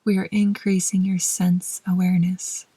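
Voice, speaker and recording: A woman gently leading a guided meditation in a soft, breathy voice that often trails toward a whisper, with measured pauses between short phrases. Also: on the low side, flat delivery, no room sound. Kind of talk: slow, soothing guided meditation narration.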